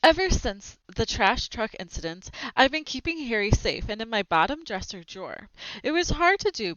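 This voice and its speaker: A woman reading a children's book aloud expressively, in an animated manner, with brief asides as she shows the pictures. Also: mid-range voice, no echo, highs cut off. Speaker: read-aloud storyteller.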